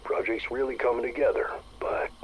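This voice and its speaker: An actor playing a gruff, uneasy chief of security musing in a game audio log, plainspoken and wry. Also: male voice, mid-range voice, fairly even intonation, a little fast, close to an everyday voice. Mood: weary, reflective. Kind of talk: recorded audio log monologue. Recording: noisy audio log tape.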